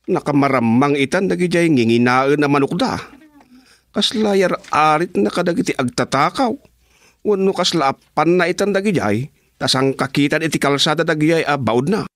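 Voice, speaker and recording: A man plays an agreeable, gossiping villager in an Ilocano radio drama. His Filipino voice sits a little high, and the sound is close and dry. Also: animated, moderate pace, no room sound, lightly acted.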